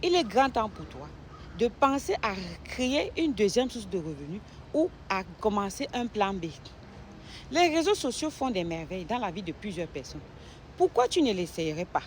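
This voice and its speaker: A woman from Cameroon, a nurse, making a direct persuasive sales pitch to the listener. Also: big pitch swings, moderate pace, slight background noise. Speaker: nurse promoting an online business.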